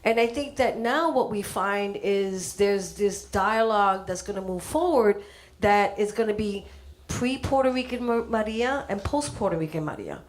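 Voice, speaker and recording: A woman's voice: medium pitch, moderate pace. A woman telling a personal story to a gallery audience, acting out what people said. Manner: storytelling, animated when acting out quotes. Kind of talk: speaking at an artist reception.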